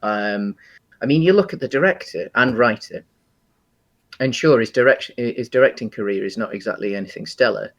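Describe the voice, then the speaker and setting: A man with a mid-range voice talks as a podcast host in loose, conversational film chat. He is enthusiastic, yet his delivery is halting and rambling, often feeling its way through a thought. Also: mid-range voice. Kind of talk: casual film discussion.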